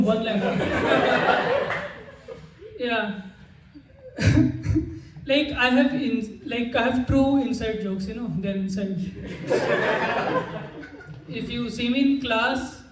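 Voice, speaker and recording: Stammering young man doing stand-up. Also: extremely high pitch. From India. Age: young adult. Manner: stammers, awkward self-deprecating delivery. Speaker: stand-up comedian. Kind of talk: stand-up set. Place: comedy club stage with audience. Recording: roomy, distant mic with noise.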